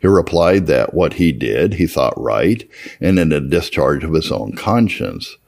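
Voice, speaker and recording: A man reading an old religious memoir aloud as scripted narration, in a measured, formal and expressive way. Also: very deep voice, creaky. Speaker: audiobook-style reader of historical religious texts.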